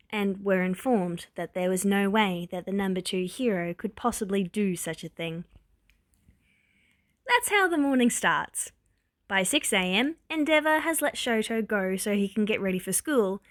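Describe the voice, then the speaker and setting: A woman narrating a written story with expressive, wry, exasperated emphasis. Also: medium pitch, no room sound, clean studio sound. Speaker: podfic narrator reading fan fiction.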